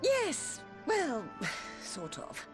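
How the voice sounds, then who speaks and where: Genteel, emotive high-voiced wealthy wife. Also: female voice, wildly swooping pitch, very slow, music in the background, heavily acted. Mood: wry, refined. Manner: refined, formal, emotive. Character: genteel wealthy wife, anxious and devoted, theatrical. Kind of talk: video game voice acting.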